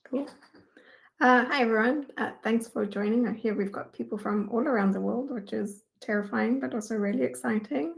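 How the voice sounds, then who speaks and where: A woman's voice: a little high, moderate pace, creaky, low-quality recording. A woman in her thirties with a South African accent gives a prepared webinar presentation in an informal, conversational way. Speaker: guest speaker, data engineering manager.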